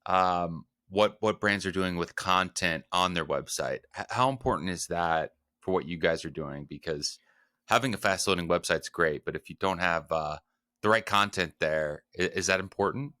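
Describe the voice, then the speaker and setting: Deep, forceful male podcast host. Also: moderate pace, no echo, studio quality. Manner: asks stacked, rephrased questions. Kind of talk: interviewing guests.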